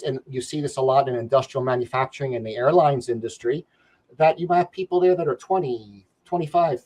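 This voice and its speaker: A man's voice: medium pitch, a little mellow, low-quality recording. New York man, rambling leadership trainer. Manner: rambling, frequent "you know" fillers and restarts. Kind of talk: conversational interview answers.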